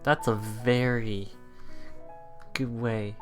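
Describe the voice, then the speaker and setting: A let's play host casually commenting on a visual novel and reading its lines aloud in a halting, chuckling way. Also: medium pitch, flat delivery. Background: visual novel background music.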